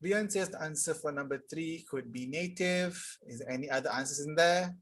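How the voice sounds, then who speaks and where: Young male tutor, husky and a touch high, casual live online class, reads examples slowly. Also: fairly bright tone. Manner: casual, explanatory, reads sentences aloud slowly. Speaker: English teacher. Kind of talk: live online tutorial reading chat answers.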